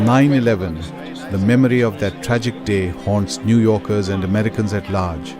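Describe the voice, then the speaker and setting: A man narrating a documentary in a steady, serious voice. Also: lowish voice, moderate pace, over music.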